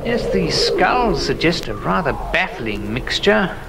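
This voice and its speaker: Eager scholar-gentleman, widely swooping intonation, learned and exclamatory, horror drama with noisy ambience. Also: on the high side, big pitch swings, slow pace, creaky, heavily acted. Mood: fascinated, intrigued. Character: fascinated, scholarly gentleman scientist exploring bones. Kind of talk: horror audio drama acting.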